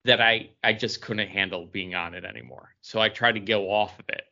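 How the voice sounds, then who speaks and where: A man with a bright, tense edge to his mid-pitched voice chats on a podcast. He tells personal anecdotes casually. The sound is slightly lo-fi. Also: steady tone, brisk, gravelly. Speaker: podcast host. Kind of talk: casual chat, telling anecdotes.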